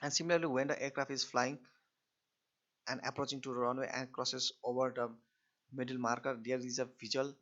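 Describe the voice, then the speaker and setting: A male lecturer speaks at a middling pitch on a dull, lo-fi recording. He explains technical material step by step, often restarting and repeating his phrases in a halting way. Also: pushed and bright.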